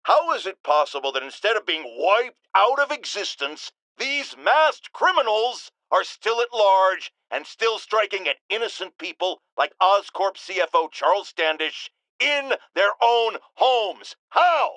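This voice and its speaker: Blustering, sarcastic male radio host for a game: middle-aged, outraged, high and animated, theatrical monologue delivery, dry studio sound. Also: unhurried, hardly any pauses, dry recording, full character voice, poor audio. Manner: pompous, sarcastic, emphatic, theatrical.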